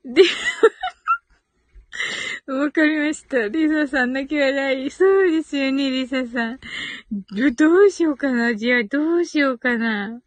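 Giggly woman streamer, really high voice, slow unhurried chat, dry close sound. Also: no room sound. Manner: giggly, thinking aloud. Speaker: live audio stream host. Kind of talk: casual chat, reading and reacting to listener comments.

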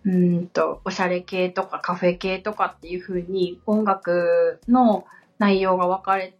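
A woman's voice: on the low side, fairly even intonation, measured pace. A woman co-hosting a podcast, talking politely and conversationally in simple words. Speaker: podcast co-host and assistant. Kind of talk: conversational podcast talk, answering questions. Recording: slightly dull audio with background music. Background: background music.

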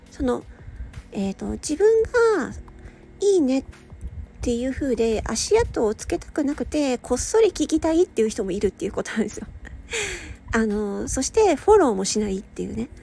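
Woman, soft mellow tone, creaky edges, casual solo chat, hesitant, tired and slightly slurred. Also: on the high side, slight background noise. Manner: hesitant, meandering, often giggly. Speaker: audio channel host and hobby voice performer. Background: soft background music or ambience.

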